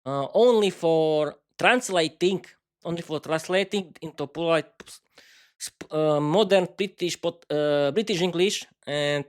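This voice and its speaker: Man, slovak accent, non-native english, unscripted solo podcast, halting, many restarts, fairly high voice. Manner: halting, frequent restarts and fillers. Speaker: podcast host.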